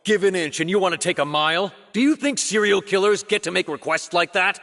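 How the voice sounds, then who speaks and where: A man's voice: high voice, fairly quick, in a large hall, loud music behind the voice. A man voicing a stern, contemptuous prison guard in an anime game, barking and scornful.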